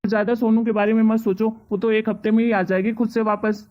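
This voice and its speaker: A woman's voice: on the high side, little pitch movement, brisk, lightly acted. A woman voicing a timid, dutiful grown-up son in a cartoon family drama, speaking in a soothing, pleading way. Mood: reassuring. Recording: tinny, narrow phone-like sound.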